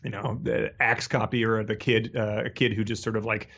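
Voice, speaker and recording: A man co-hosting a casual, humorous book discussion, talking in a halting, rambling and amused way. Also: fairly low pitch, little pitch movement, vocal fry, a bit bright. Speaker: podcast co-host. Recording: dull lo-fi audio.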